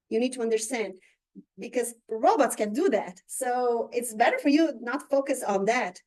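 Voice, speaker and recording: A woman with a Brazilian accent leads a training session in a high, light voice. She stops often, fills gaps with small hesitations and searches for the right English word. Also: darkish tone. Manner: halting, searches for words with many fillers. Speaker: real estate marketing trainer. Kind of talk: live training presentation.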